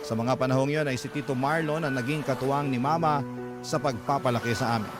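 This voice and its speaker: Guy narrating a dramatic story, medium pitch, music playing behind him. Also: fast talker. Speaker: narrator of a listener's letter.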